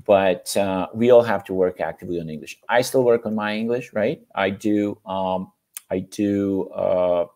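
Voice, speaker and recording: Deep-voiced Serbian man giving feedback. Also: a little slow, a little mellow. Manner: encouraging, with frequent filler restarts. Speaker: sales mentor. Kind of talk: webinar feedback session.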